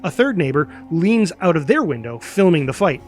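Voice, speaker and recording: A man reading stage directions as dramatic, energetic narration for a superhero screenplay, punching out the action. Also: fairly high pitch, moderate pace, soft background music. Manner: energetic, punchy sound-effect words.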